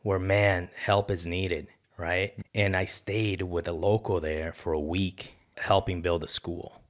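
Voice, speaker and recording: A man's voice: sits low, fairly even intonation, unhurried. A man, a podcast guest telling personal stories in an earnest, colloquial way. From born in Ecuador, moved to the United States. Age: 30s. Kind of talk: casual personal storytelling.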